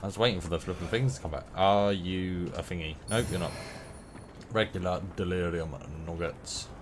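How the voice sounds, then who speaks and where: A man's voice: sits low, normal speed. A young man with a runny nose giving rambling, playful commentary while playing a game. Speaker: gameplay streamer. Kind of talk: casual gameplay commentary. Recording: noisy game sound behind voice.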